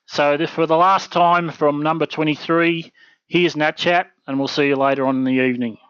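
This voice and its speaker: A man's voice: fairly high pitch, moderate pace, clear, resonant tone, no echo, lo-fi sound. A man hosting a community web stream from his home office, talking casually with plenty of ums. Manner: relaxed, rambling, many ums. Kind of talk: casual to-camera announcement.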